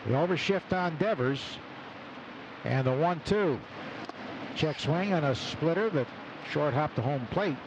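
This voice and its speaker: A man doing live radio baseball play-by-play, calling the action in clipped phrases between pauses. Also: on the high side, animated. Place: open broadcast booth at outdoor ballpark. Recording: noisy outdoor ballpark broadcast. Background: loud stadium ambience.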